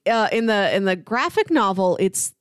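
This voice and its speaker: A woman's voice: mid-range voice, sing-song, normal speed, clear, resonant tone, no room sound, clean studio sound. A woman co-hosting a podcast, talking casually and conversationally as she discusses stories. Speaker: podcast co-host. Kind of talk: casual discussion of comics and film.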